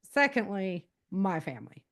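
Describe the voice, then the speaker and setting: A woman's voice: mid-range voice, very animated, unhurried, fairly bright tone. A middle-aged American woman giving conversational interview answers in an earnest, emphatic and informal way. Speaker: podcast guest, author and self-defense teacher.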